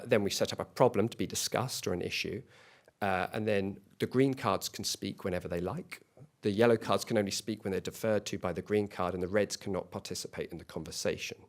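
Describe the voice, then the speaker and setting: A man with a fairly low voice delivers a business pitch to a panel. He speaks earnestly and often stumbles into restarts and repeated words as he explains his company's work. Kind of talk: competition pitch. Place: event hall.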